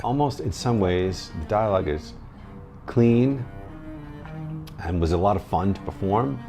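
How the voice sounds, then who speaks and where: A man's voice: on the low side, lively intonation, measured pace, dark tone, faint music behind. A man who does voice acting, speaking casually in a documentary interview. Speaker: voice actor.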